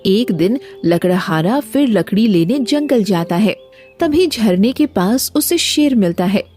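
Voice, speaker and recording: A woman's voice: mid-range voice, animated, a little slow, a little mellow. A woman reading scripted narration for a cartoon moral story. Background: background music.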